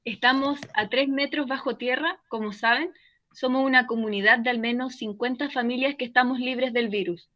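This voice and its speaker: A woman's voice: fairly high pitch, steady tone, moderate pace, close to an everyday voice. A woman playing a defensive, earnest journalist and former newsreader in a radio play, firm and articulate, over a remote link. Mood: apologetic, anxious. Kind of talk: radio play as live call-in interview. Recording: dull, band-limited remote link.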